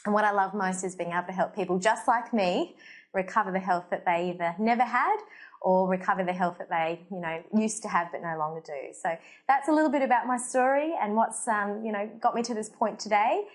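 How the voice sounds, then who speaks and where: A woman's voice: mid-range voice, fairly quick. A woman in her thirties with an Australian accent, a doctor hosting her own show, talking earnestly to camera about how she came to her work, with frequent fillers. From Portugal. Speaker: holistic doctor, show host. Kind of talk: personal story to camera. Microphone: facing the camera.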